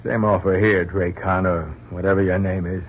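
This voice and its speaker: A man's voice: deep voice, little pitch movement, normal speed. A man playing a plucky, wisecracking astronaut crewmate with a folksy, wry way of talking in an old radio drama. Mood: grim, loyal. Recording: muffled, noisy old radio recording.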